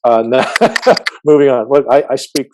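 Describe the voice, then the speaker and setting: A man's voice: mid-range voice, sing-song, moderate pace, a little mellow, slight background noise. A man whose first language is Farsi, hosting a casual interview in a chatty, joking way with many fillers. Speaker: podcast host.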